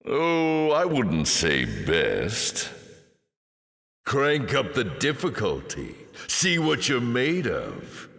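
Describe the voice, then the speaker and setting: A man voicing a suave, taunting fallen angel demon in a video game, with a deep gravelly voice and a sly, sardonic drawl. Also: wildly swooping pitch, very slow, a bit bright, heavily acted. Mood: playful, teasing. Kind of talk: video game character voice lines. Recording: long cavernous reverb.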